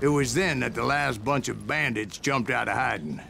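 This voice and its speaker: An actor playing a weathered older gunslinger with an American Western drawl, telling his tales of revenge in a wry, deliberate way for a video game. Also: medium pitch. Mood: wry storytelling. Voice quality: gravelly. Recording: music behind the voice.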